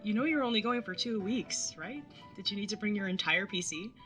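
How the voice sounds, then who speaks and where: A woman's voice: mid-range voice, animated, a little fast, sharp tone, faint music behind. A woman playing a wry, jaded young artist bartender in an audio drama, sardonic and casually sweary as narrator and older sibling. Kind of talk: first-person audio drama narration and dialogue.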